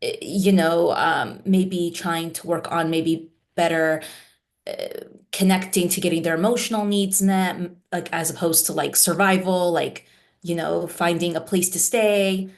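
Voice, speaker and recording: A woman's voice: fairly low pitch, steady tone, a little slow, gravelly. A woman therapist raised in the United States, talking reflectively in an interview, with many "you know" and "right?" fillers. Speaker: therapist guest.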